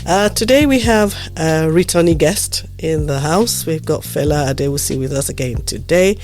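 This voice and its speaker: A man hosting a podcast, a bit nasal from a blocked nose, talking in a measured way with many ums and pauses. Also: a little high, darkish tone. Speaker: podcast host. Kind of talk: casual podcast conversation.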